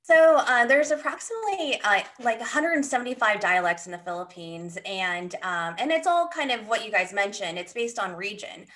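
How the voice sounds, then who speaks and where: Woman, mid-pitched, halting casual explainer. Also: animated, fairly bright tone. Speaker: panelist. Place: indoor room on video call.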